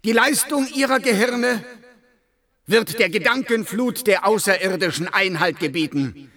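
Zealous, formal male official, higher-voiced. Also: measured pace, bright, forceful tone. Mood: rousing, solemn. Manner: formal, precise, declamatory. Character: zealous, irritable male research official in dystopian science fiction. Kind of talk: German radio play acting.

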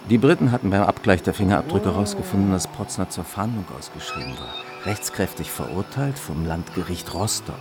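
Wortkarger, tiefer klingender Ermittler. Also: male voice, low voice, big pitch swings, a little fast, hardly any pauses, background noise. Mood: dry irony. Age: late 30s. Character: wry, melancholy German police investigator, nearly forty. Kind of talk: radio drama, first-person narration and dialogue.

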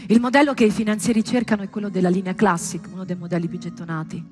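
A woman performing a scripted theatrical monologue, storytelling with deliberate pauses and emphatic, dramatic delivery. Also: medium pitch, steady tone, darkish tone, faint music behind. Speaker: stage performer.

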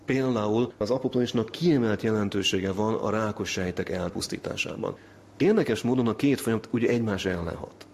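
A man's voice: on the low side, a little mellow, a little hiss or hum. A man speaking as a scientist in an interview, explaining his research in an expository way.

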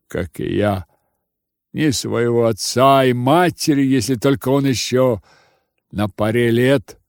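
A man's voice: mid-range voice, darkish tone, no echo, studio quality. Man voicing a stern, grieving old Cossack chief. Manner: solemn, emphatic, commanding. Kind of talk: audiobook character voice.

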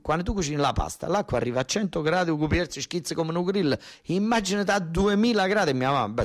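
A man with a southern Italian accent performing a theatrical, colloquial monologue, emphatic and insistently repeating words as he explains. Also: medium pitch. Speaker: solo stage actor and storyteller.

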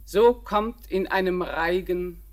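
A stately old grandmother in 1940s German radio drama style. The delivery is extremely slow and measured. A calm solemnity holds throughout. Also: on the low side. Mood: solemn, reminiscing. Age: older.

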